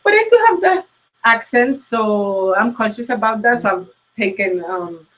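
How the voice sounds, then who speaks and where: A woman with a thick Puerto Rican accent, English learned as a second language, answers questions in an interview. Her voice sits a bit high, and she talks earnestly, often pausing on ums and restarting her sentences. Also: lively intonation, rough recording. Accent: Puerto Rican Spanish. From Puerto Rico. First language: Spanish. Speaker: guest coach. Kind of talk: interview conversation. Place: New York.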